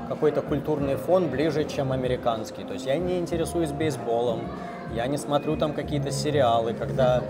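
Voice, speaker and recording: A man in his late thirties talks at an easy middle pitch with a Moscow accent. He rambles comfortably and fills pauses with drawn-out sounds. Loud music plays behind him in a café, picked up by a camera set some distance away. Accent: Moscow Russian. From Russia. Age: 30s. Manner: relaxed, rambling, with many filler sounds. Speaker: interview guest, software engineer. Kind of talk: casual interview chat. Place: busy café, indoors.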